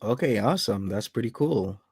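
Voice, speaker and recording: A man's voice: on the low side, expressive, normal speed, vocal fry, darkish tone, breathy edge, no room sound. A man in his late thirties hosting a casual livestream, reading out viewer names one by one to greet them. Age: 30s. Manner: greets viewers by name in a list. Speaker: livestream host.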